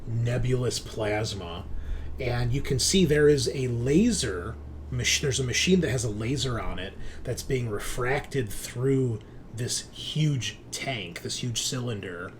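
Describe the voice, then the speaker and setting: A man's voice: medium pitch, animated, slow, a little hiss or hum. A man running a tabletop sci-fi game as game master, describing scenes conversationally and correcting himself as he improvises.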